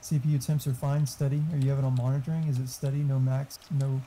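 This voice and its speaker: A man's voice: medium pitch, level tone, fast talker, background noise. A man chatting casually and giving tech advice, swearing freely as he goes. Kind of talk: casual chat.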